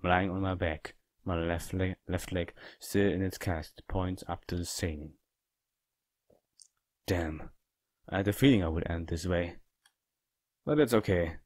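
A man reading a visual novel as a cold, calm young male killer, quiet, dramatic and subdued. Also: low-pitched, measured pace, pauses often, dry recording, pitched below the performer's own voice. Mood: cold, resigned.

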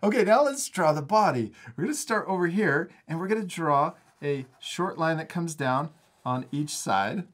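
A man's voice: fairly high pitch, expressive, moderate pace, a bit bright. A man hosting a step-by-step drawing lesson for children in a casual, encouraging manner. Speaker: art teacher and host.

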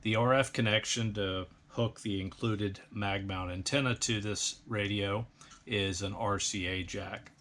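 A middle-aged American man narrating an instructional bench review in a measured, precise technical manner. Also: on the low side, steady tone, fairly bright tone, slight background noise. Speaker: host, radio equipment reviewer. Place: indoor electronics workbench.

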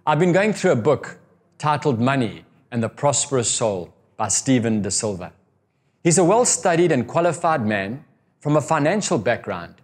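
A middle-aged man preaching a recorded sermon to camera, earnest and expressive, stretching key words for emphasis. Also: medium pitch. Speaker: pastor. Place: indoor room by a window.

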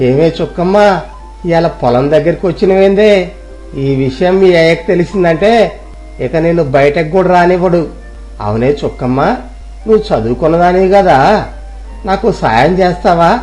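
Casting: man as eager, naive village farmer, rustic and coaxing, pitched a bit high, music under. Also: normal speed, long pauses, a little mellow, close to an everyday voice. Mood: pleading. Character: young village farmer craving fame, naive. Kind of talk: storybook voice acting.

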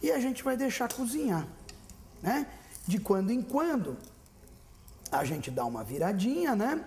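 A man's voice: extremely high pitch, a little slow. A man in his thirties, a TV chef in a studio kitchen, chatting to viewers while he cooks. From Brazil. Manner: lively, chatty, addressing viewers directly. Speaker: TV cooking show chef. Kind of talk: cooking demonstration. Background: frying and sizzling.

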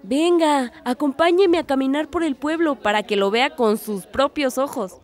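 An actress as an enthusiastic young daughter. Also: female voice, high-pitched, moderate pace, natural voice. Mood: encouraging. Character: enthusiastic young daughter full of activist ideas. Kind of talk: radio soap opera acting.

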